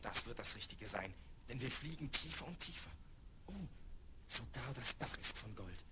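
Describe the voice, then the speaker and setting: A man's voice: medium pitch, animated, normal speed, lightly acted. Brave young fairy-tale prince, played by a man, earnest, declamatory, 1970s audio play, very noisy crackling vinyl. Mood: awed. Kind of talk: 1970s German children's audio play acting. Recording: very noisy vinyl record with clicks.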